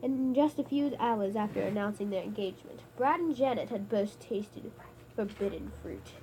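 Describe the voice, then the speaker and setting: Woman doing a serious storyteller, low and hushed, kinda ominous. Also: animated, a little hiss or hum, natural voice, low-quality recording. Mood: solemn. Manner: hushed, grave, measured. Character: solemn storybook narrator.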